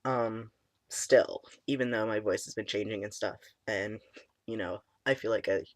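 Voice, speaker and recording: Young man, cracky squeaky voice, stuffy with a cold, rambling casual vlog, dry close sound. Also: moderate pace, dry recording. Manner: rambling, full of ums and likes. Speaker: vlogger. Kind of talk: casual chat. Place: small room indoors.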